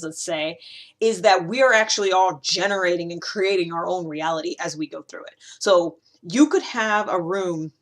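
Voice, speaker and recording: Chatty, animated woman explaining things. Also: on the low side. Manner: animated, conversational, emphatic with asides. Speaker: host of a personality-typing video series. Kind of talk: casual explanatory monologue to camera.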